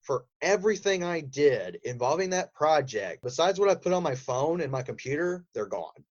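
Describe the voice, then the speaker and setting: A man telling stories casually as an interview guest, in an animated way that acts out quotes. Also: a little high, moderate pace, highs cut off. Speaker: podcast guest, voice actor.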